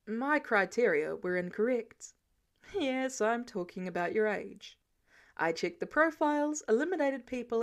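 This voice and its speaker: A sardonic older inventor and mentor, voiced by a woman reading fan fiction aloud. The delivery is dry and teasing, with an exasperated edge. The voice sits on the high side.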